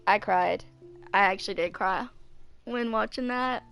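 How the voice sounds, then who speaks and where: A woman's voice: medium pitch, unhurried, creaky, a bit bright, faint music behind. A woman in her twenties chatting casually on a game stream in an informal, rambling way. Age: 20s. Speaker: gaming streamer.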